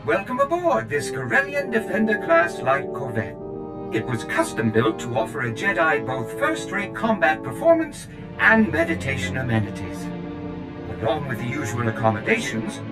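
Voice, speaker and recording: Fussy, high-pitched servant droid. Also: male voice. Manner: formal, obsequious, proud of its service. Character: fussy, eager-to-please male steward droid. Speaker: ship steward. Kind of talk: video game character acting. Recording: music behind the voice.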